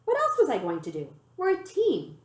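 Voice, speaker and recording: A woman voicing a plucky, cheerful twelve-year-old street girl in a storybook, brisk and teasing. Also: high voice, huge pitch swings, voice pushed up. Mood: affectionate. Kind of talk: storybook character read aloud. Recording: roomy, echoey room sound.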